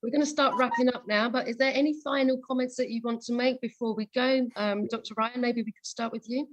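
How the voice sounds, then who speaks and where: A woman's voice: a little high, steady tone, brisk, no echo, dull audio. A woman moderating a press conference, speaking politely and off the cuff to keep things moving. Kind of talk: polite, spontaneous event moderation.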